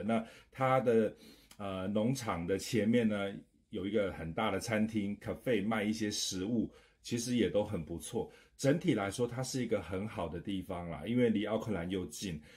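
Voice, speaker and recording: Casting: middle-aged male travel vlogger, lowish voice, informal chat to camera. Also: darkish tone. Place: small indoor home office.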